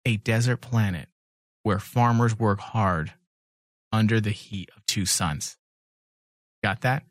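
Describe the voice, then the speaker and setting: A man hosting a casual fan podcast, bantering in a mocking, sweary, animated way. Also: on the low side, takes it slowly, no room sound, clean studio sound. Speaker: podcast host.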